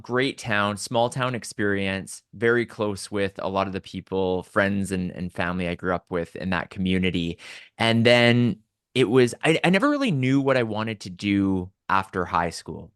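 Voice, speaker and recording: A man's voice: on the low side, fairly bright tone, clean tone, no echo, clean studio sound. A man from Southern Alberta with a Canadian accent, telling personal stories conversationally and articulately as a podcast guest.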